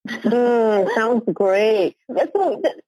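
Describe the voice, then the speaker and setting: A woman's voice: on the high side, lively intonation, extremely slow, mellow, breathy edge, no room sound, poor audio. A woman hosting a podcast, asking questions in a hesitant, friendly way with plenty of fillers. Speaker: podcast host. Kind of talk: casual interview.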